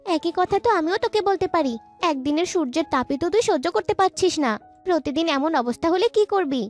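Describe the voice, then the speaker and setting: Very high-pitched young cartoon girl voiced by a woman. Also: lively intonation, fast talker, soft background music. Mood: argumentative. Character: young village girl, chatty and argumentative. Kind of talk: cartoon dialogue.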